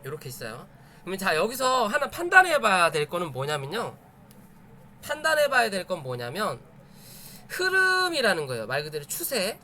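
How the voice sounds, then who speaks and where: A man's voice: sits high, wildly swooping pitch, moderate pace, sharp tone, slight background noise. A man giving an informal live lesson as host, emphatic, repeating phrases and checking understanding with rhetorical questions. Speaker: host of a stock chart study stream. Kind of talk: informal live lesson, explaining stock charts.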